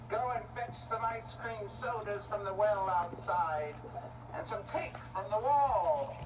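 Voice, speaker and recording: A woman's voice: mid-range voice, slow pace, distant mic, noisy background, natural voice. A woman playing a scrawny, cruel, gleeful old witch in a children's story, drawing out gloating, cackling threats. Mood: bossy, menacing. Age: older. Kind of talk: theatrical children's storybook acting.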